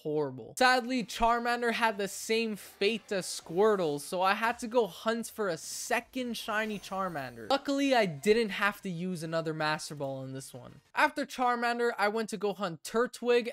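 A young man narrating his video game hunts from a home gaming room, breaking into excited shouts and laughter at lucky finds. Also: high voice, smooth. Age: young adult. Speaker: gaming YouTuber. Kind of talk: game commentary and recap narration.

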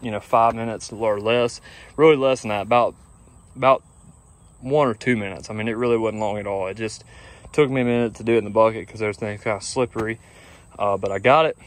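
A man's voice: on the low side, steady tone, a little fast. Easygoing young man filming outdoors. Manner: easygoing, rambling, full of fillers. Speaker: lawn care business owner. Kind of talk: casual vlog talk to camera. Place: outdoors in a grassy yard. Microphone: phone camera at arm's length.

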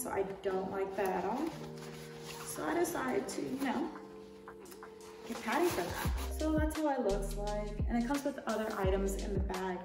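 A young woman chatting casually and informally to camera as a lifestyle YouTuber, rambling a little through a product haul. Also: mid-range voice. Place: indoor room. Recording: roomy echo, distant mic, music behind.

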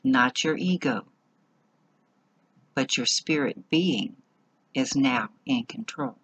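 A woman leading a guided meditation in short, measured phrases with long pauses between them. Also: rumbling low, animated, a bit bright, breathy edge.

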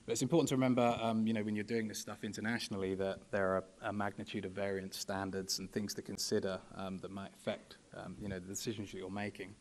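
A young man speaking from a podium at a conference panel, measured and full of ums and you-knows. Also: on the low side, little pitch movement. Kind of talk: presentation at a podium. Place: large conference hall. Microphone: podium microphone.

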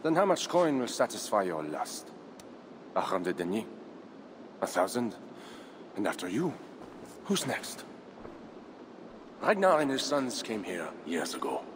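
A haughty Frankish count. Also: male voice, lowish voice. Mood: wary, haughty. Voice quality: measured, haughty, lively delivery. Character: proud Frankish count, middle-aged, cold and defiant. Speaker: Frankish nobleman. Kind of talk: video game cutscene acting. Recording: game audio with clear ambient noise.

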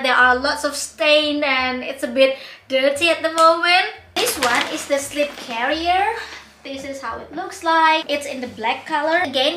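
Young woman, giggly unboxing vlogger, high bright voice, roomy echo with faint background noise. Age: young adult. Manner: bubbly, giggly, gushing. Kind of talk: product unboxing vlog. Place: bright indoor room.

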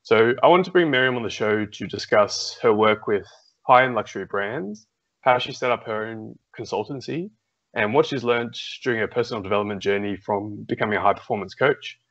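A man's voice: fairly low pitch, a little fast, a bit bright. A young man hosting an interview show, introducing his guest and asking questions in a friendly way over a video call. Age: young adult. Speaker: podcast host. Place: small room indoors. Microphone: video call with earphones. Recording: muffled video call audio.

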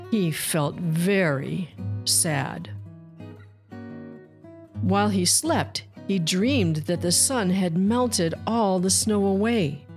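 Female storyteller for small children, unhurried and slightly low, leaning on key words, guitar music underneath. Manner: deliberate, stretched key words with storyteller emphasis. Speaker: children's book reader and channel host. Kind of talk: picture-book read-aloud for young children. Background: plucked guitar music.

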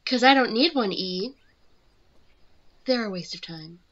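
A woman's voice: medium pitch, expressive, measured pace, a bit bright. Cool, aloof teenage villain girl played by a woman, muffled. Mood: dismissive. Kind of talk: amateur fandub acting.

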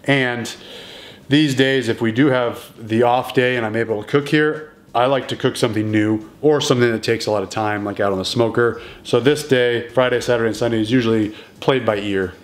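A man in his thirties casually walking through home meals in his kitchen, relaxed and matter-of-fact. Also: mid-range voice, a little fast. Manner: relaxed and matter-of-fact, plain step-by-step talk. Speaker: strength coach cooking at home. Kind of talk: casual cooking walkthrough.

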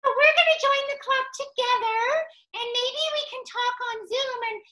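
Excitable, squeaky little flamingo puppet. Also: female voice, normal speed. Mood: delighted. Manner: childlike, gushing, gasping, stammering with excitement. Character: excitable, silly young female flamingo puppet, childlike and forgetful. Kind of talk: children's puppet show dialogue.

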